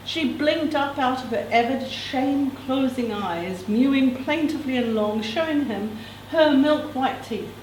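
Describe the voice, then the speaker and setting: Female reader, expressive literary delivery with informal asides, higher register, roomy distant sound. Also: unhurried, few pauses. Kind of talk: reading a novel extract aloud with casual asides. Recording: echoey room, distant mic, background noise.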